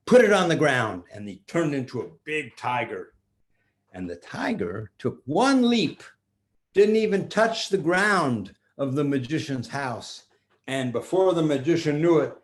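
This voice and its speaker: An older man improvising a children's story like a grandpa, theatrical, with pauses and restarts. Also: medium pitch.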